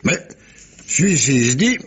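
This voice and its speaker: An actor playing a very old, sly sailor in a French radio mystery drama, with a husky, wheezy voice and a halting storyteller's delivery. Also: male voice, mid-range voice, big pitch swings, heavily acted. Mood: animated, conspiratorial. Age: 80s. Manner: halting, chuckling, salty storyteller with hums and asides.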